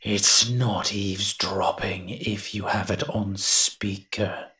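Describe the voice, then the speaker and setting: A low, bright-edged male monster, as a man acts him out in an audio roleplay. He grumbles in a sardonic, even-pitched delivery, reluctant on the surface and secretly soft-hearted underneath. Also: slow. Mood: grudging, sardonic. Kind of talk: scripted character monologue, audio roleplay.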